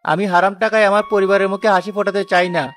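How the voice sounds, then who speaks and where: An actor playing a poor, honest, devout family man in a cartoon moral tale, speaking in an earnest, measured way. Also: high-pitched, level tone, a bit bright, faint music behind. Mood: resolute. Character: poor, honest porter, a devout, steadfast husband and father.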